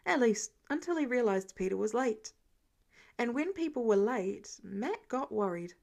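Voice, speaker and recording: A woman reading fiction aloud in an expressive audiobook narration style. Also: on the high side, unhurried, creaky. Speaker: fan fiction reader.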